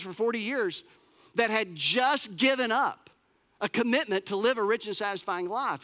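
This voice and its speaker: Bright-voiced preacher in his forties. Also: high-pitched, in a large hall. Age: 40s. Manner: lively, emphatic preaching with repetition and asides. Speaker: pastor. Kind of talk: sermon.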